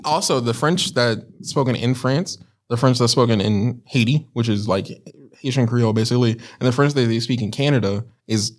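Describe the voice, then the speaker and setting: A man with an ordinary mid-range voice chats casually as a podcast co-host. He talks in a laid-back way, working through his points slowly with plenty of um and like. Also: mid-range voice. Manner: laid-back, explains at length with fillers. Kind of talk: casual chat.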